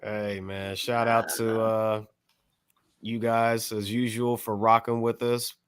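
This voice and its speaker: A man's voice: on the low side, little pitch movement, slow, clear, resonant tone, no room sound, studio quality. An American man on a podcast panel, chatting casually with jokey asides and repeated short phrases. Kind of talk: casual chat.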